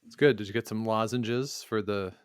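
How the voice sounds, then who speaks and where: A man's voice: lowish voice, normal speed, clean tone, dry recording, studio quality. A man co-hosting a sports podcast, chatting casually and rambling, often correcting himself mid-sentence. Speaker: podcast co-host.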